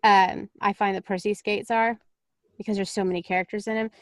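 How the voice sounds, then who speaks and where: A woman's voice: medium pitch, flat delivery, fairly quick, no room sound, studio quality. A woman talking casually and chattily as an audiobook narrator on a panel.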